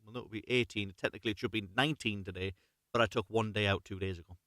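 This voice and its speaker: A man's voice: lowish voice, fairly even intonation, quick pace, sharp tone, no room sound, studio quality. A man hosting a live story reading, chatting casually and reading unrehearsed in a rambling, self-correcting way. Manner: rambling, self-correcting, apologetic about slips.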